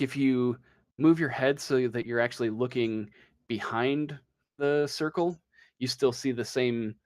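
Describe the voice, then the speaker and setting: A man improvising narration as game master of a tabletop roleplaying game, often pausing mid-sentence as he goes. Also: medium pitch, no room sound, studio quality.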